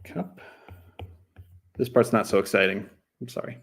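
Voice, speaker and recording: American male streamer, low voice with some fry, loose rambling self-deprecating chat. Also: fairly even intonation, a little slow. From New Mexico, USA. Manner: rambling, self-deprecating, slips into other languages. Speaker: cartoonist streaming while drawing. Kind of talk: casual live-stream chat.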